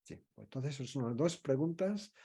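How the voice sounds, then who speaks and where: A man's voice: medium pitch, expressive, moderate pace, vocal fry, warm tone, slightly rough. A man moderating an online meeting, asking questions hesitantly and restarting them, speaking over a video call.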